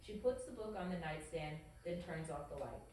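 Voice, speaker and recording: A woman's voice: low voice, moderate pace, darkish tone. A woman reading stage directions as scripted narration at a table read. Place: echoey room at a group table read. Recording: very echoey, distant mic, clear noise.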